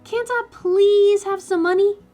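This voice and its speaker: Woman voicing an excited young girl. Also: extremely high pitch, unhurried, soft background music, voice pushed up. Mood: eager. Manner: eager, pleading.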